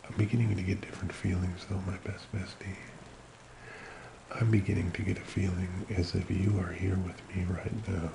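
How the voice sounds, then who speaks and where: A man speaking very softly as an ASMR host, slow and soothing, often slipping into a whisper in a creaky murmur. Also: low-pitched, flat delivery, noisy background. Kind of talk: soft-spoken ASMR personal attention, slow guided relaxation.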